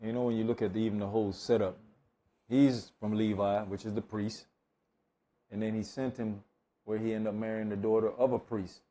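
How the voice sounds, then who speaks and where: Male scripture reader, dark mellow tone, measured, plain delivery. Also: lowish voice, little pitch movement. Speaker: scripture reader at a Bible study. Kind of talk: reading scripture aloud, brief comments.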